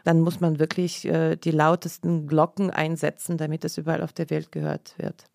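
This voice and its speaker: A woman's voice: low-pitched, level tone, moderate pace, vocal fry, a little mellow, no room sound. A woman in her forties, born in Leningrad with Russian as her first language, telling her story earnestly as a podcast guest in a studio. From Leningrad, Soviet Union. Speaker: interview guest, journalist. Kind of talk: podcast interview.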